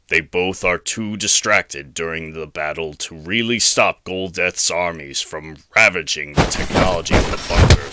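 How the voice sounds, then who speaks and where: A man's voice: fairly low pitch, bright, forceful tone. A man narrating a scripted action audio drama as a storyteller. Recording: noisy home recording.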